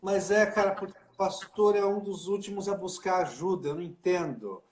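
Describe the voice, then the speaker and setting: Chatty pastor in an echoey room. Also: male voice, sits high, fairly quick. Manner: chatty, informal, full of fillers like né and cara. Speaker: pastor hosting a livestream. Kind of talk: casual livestream chat.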